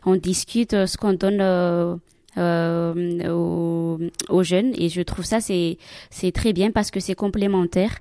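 A woman's voice: on the low side, steady tone, slow pace, warm tone, no room sound, studio quality. A woman from Madagascar whose first language is Malagasy, a religious sister answering interview questions in a hesitant way with many fillers.

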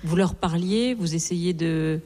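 Low-voiced woman interviewer in a reverberant hall. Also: a little slow, mellow. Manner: prompting, rephrasing the guest's words. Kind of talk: gentle interview questions.

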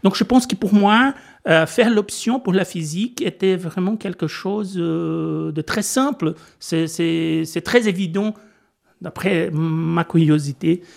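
A middle-aged Brazilian man with a fairly high voice is being interviewed on the radio. He speaks French with a Portuguese accent, hesitating often and restarting his sentences, with an occasional laugh. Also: a little slow, vocal fry. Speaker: physics lecturer, guest.

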